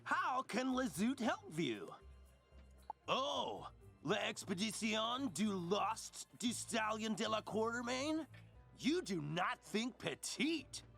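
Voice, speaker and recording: A man's voice: high voice, sing-song, slow pace, vocal fry, fairly bright tone, faint music behind, full character voice. A man playing an eccentric, theatrical explorer in a cartoonish video game, with an exaggerated French accent. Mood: jaunty, boastful. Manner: theatrical, mangles French into English.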